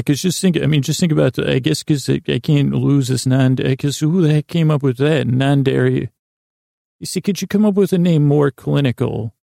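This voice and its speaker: A man hosting a sleep podcast in a rambling, halting bedtime monologue, losing his thread and restarting in a gravelly voice. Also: mid-range voice, dark tone, dry recording, studio quality. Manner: meandering, halting, self-interrupting, absent-minded.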